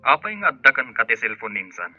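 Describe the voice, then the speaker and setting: A man's voice: mid-range voice, very animated, fast, sharp tone, no echo, soft background music, heavily acted. An actor playing a suspicious, mocking man with a confrontational, sneering manner on the phone in an Ilocano radio drama. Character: suspicious, mocking male relative of the boss. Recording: dull telephone-call sound.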